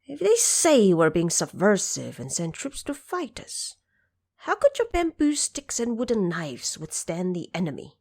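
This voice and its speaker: Monkey King, commanding, crafty, slow and expressive, woman voicing him. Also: medium pitch, exaggerated voice. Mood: scheming. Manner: confident, commanding.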